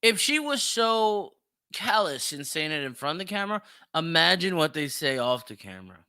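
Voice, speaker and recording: A man's voice: a little high, big pitch swings, normal speed, pushed and bright, smooth, dry recording, studio quality. A man hosting a podcast, giving opinionated reaction commentary in a brash, profane, exclamatory way. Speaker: podcast host.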